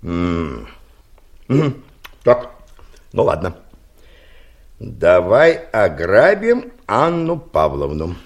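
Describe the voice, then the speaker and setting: A man's voice: deep voice, very animated, very slow, long pauses, full character voice. An actor playing a scheming, eccentric older man in an audio play, laying out his plans in an insistent, matter-of-fact way. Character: scheming, eccentric older male friend hatching crime plans.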